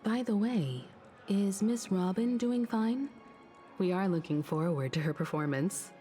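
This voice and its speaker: A woman playing a calm, analytical investigator in a video game, speaking thoughtfully and deliberately. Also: mid-range voice, faint music behind, natural voice. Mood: polite, probing.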